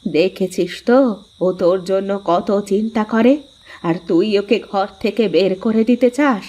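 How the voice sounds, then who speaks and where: A woman's voice: medium pitch, a little slow, mellow, lightly acted. A woman voicing a stern, elderly cartoon mother-in-law who scolds and commands. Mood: scolding. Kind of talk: cartoon voice acting.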